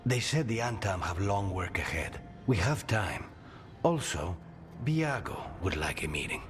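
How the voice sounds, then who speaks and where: A man playing a reserved, matter-of-fact assassin in a video game, understated and deliberate. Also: on the low side, expressive, faint music behind. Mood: dry, reserved.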